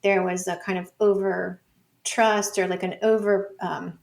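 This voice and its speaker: A woman's voice: mid-range voice, flat delivery, measured pace, vocal fry, darkish tone. A woman explaining things informally as an interview guest, thinking aloud with plenty of "like" and "you know". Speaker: interview guest, data scholar and teacher.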